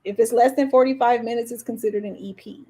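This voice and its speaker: Higher-voiced woman chatting casually. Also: steady tone, fast, dark tone, breathy edge. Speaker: podcast co-host. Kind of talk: casual music chat.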